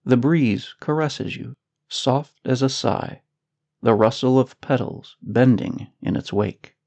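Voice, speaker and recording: A man narrating a scripted guided meditation in a soothing, measured, unhurried manner. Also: medium pitch, creaky, darkish tone.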